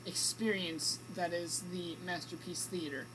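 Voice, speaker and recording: A woman's voice: on the low side, fairly even intonation, unhurried, background noise. A woman co-hosting an amateur radio show with casual banter.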